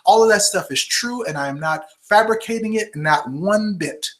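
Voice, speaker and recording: A man's voice: sits high, lively intonation, normal speed, fairly bright tone. A man in his forties hosting a live webinar, answering viewer questions in a casual, chatty way and constantly checking in with "okay?" and "all right?". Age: 40s. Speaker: host of a live health webinar. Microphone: computer microphone.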